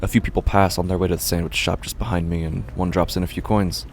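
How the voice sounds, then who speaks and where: Man playing a low-voiced, weary street musician. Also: fairly even intonation, fast talker. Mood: weary, reflective. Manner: brooding, sighing, understated. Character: weary, resigned male street musician narrating his day. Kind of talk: first-person horror audio drama narration. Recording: faint background noise.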